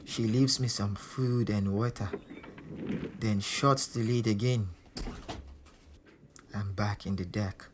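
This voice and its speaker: A man's voice: lowish voice, fairly even intonation, slow, long pauses. An actor playing a frightened male stowaway, narrating his ordeal in short, simple present-tense sentences for an audio drama. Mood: anxious, hushed hope. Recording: noisy ambience behind the voice.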